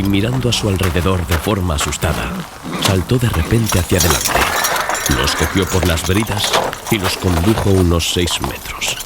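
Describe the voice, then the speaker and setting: A man's voice: deep voice, very noisy. A man narrating a dramatized gothic horror audiobook as a scripted reading. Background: loud music and sound effects.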